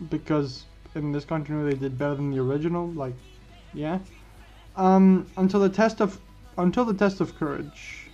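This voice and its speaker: Higher-voiced man, halting storyteller over music. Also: darkish tone. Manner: halting, repeats and restarts phrases. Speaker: host of a fan what-if story series. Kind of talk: informal scripted fan-fiction storytelling. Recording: dull lo-fi mic, music behind. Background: background music.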